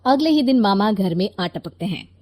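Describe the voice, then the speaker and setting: Animated woman narrating a story. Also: medium pitch, moderate pace.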